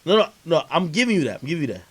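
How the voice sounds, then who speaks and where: A man's voice: on the high side, very animated, very fast, husky. A man hosting a casual, heated livestream interview, talking in profane street slang and insistently repeating his points. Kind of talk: casual heated argument on a stream.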